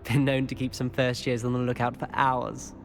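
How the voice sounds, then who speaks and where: A man playing a polite, measured teenage wizard with dry amusement in a video game. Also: mid-range voice, completely flat delivery, gravelly, a little hiss or hum, lightly acted. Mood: amused, friendly. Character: wry, courteous teenage schoolboy wizard from old family. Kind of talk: scripted video game dialogue.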